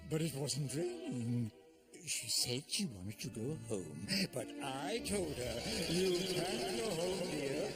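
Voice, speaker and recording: An actor playing a fussy, polite gentleman in an animated series, halting and mannered in his speech. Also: a little high, exaggerated voice. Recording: loud music under the voice.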